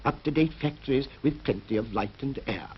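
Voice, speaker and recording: A man's voice: medium pitch, brisk, slight background noise, natural voice. A British man narrating a 1950s educational cartoon in an instructive manner with clipped phrases. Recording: dull 1950s film soundtrack with music.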